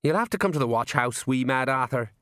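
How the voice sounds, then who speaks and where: A man voicing a slow-witted, stout middle-aged watchman who talks in a plodding, wheezy way. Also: medium pitch, animated, pushed and bright, no echo, studio quality. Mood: worried, blustering.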